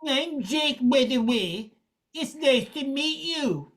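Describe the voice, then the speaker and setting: A woman's voice: high-pitched, lively intonation, slow. A woman playing a friendly but harried male dog owner, polite and apologetic, in an amateur radio play.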